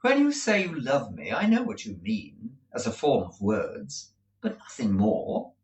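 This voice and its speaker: A man's voice: on the high side, wildly swooping pitch, pitched above the performer's own voice, heavily acted. A man voicing a cold, proud young woman. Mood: cold, measured. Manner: cool, measured, unmoved. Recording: roomy echo.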